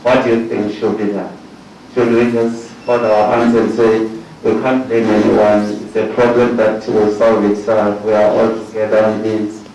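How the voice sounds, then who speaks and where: A man's voice: fairly low pitch, flat delivery, darkish tone, faint music behind. South African man questioning from far off. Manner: halting, repeats words while questioning. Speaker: commission panel member. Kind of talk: formal questioning at a hearing. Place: large hearing room. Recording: echoey hall from far off, faint noise.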